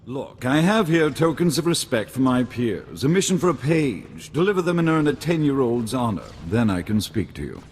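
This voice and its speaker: A man's voice: medium pitch, big pitch swings, normal speed, hardly any pauses, a little mellow, husky. An actor playing a haughty, entitled young nobleman in a video game, formal and indignant. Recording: long hall reverb, music underneath.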